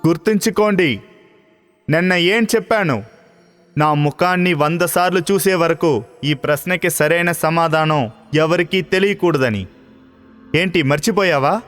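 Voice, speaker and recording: A man's voice: a little high, unhurried, long pauses, fairly bright tone. A man as a proud king in a reverberant hall. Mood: stern. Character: proud, commanding king in a fairy tale. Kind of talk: children's cartoon story dialogue. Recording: long hall reverb, background music.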